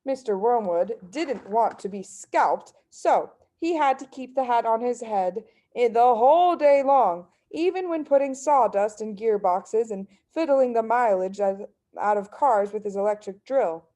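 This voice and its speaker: A woman narrating a children's book as story reader, in an expressive read-aloud style. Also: a little high, unhurried.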